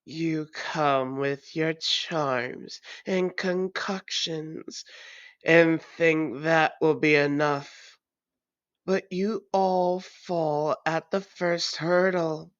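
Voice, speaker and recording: A woman voicing a menacing, taunting ancient vampire in a theatrical, deliberate audio roleplay monologue. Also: deep voice, fairly even intonation, no echo, highs cut off. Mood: sinister, gloating.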